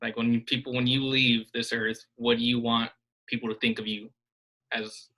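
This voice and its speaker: A young man asking interview questions over a video call in a relaxed, friendly way. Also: mid-range voice, moderate pace, rough recording. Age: young adult. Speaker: interviewer, student.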